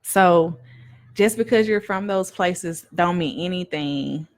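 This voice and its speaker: Woman minister, low voice, emphatic, repetitive preaching rhythm, casual live-stream talk. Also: slow pace. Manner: casual, addresses viewers as y'all.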